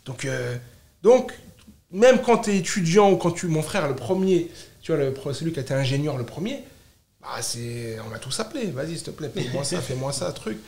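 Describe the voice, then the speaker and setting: A man with a fairly high voice talks in a roomy, echoing space. He is from France and chats informally as an interview guest, stressing points by repeating short phrases in bursts. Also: expressive, normal speed, creaky, darkish tone. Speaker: podcast guest, startup founder. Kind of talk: casual, animated conversation.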